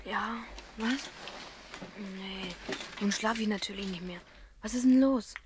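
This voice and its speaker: A woman's voice: mid-range voice, takes it slowly, natural voice. A woman playing an inquisitive, cheeky young boy in a children's radio play, with faint background hiss. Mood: sleepy, sceptical.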